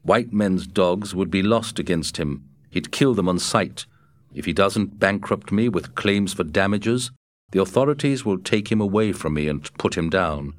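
A man's voice: sits low, expressive, normal speed, no room sound. A man voicing a weary frontier master who speaks soberly and curtly. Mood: exasperated. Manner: sober, curt.

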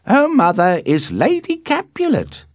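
A man's voice: sits high, huge pitch swings, measured pace, dry recording, studio quality, pitched above the performer's own voice, full character voice. A man voicing a fussy female household nurse in a storybook, prim and emphatic. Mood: emphatic, informing.

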